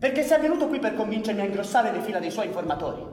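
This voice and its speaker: A man playing a sardonic, world-weary anarchist intellectual in radio theatre, arguing in an articulate, ironic and deliberate way. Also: high-pitched, sing-song. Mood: defiant. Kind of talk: Italian radio theatre acting. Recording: echoey hall, long reverb, background noise.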